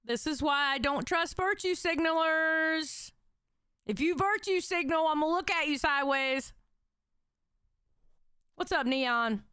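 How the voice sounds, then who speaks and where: A woman's voice: high voice, measured pace, long pauses, bright, forceful tone, no echo. A young adult woman streamer giving blunt, heated commentary on clips and reading out chat comments. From Puerto Rico. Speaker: reaction streamer. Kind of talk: casual commentary reacting to clips.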